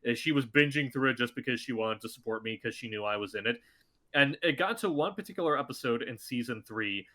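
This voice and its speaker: A man who is a voice actor, chatting casually as a podcast guest, talking excitedly and jumping his voice up high when he acts out what people said. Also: fast talker.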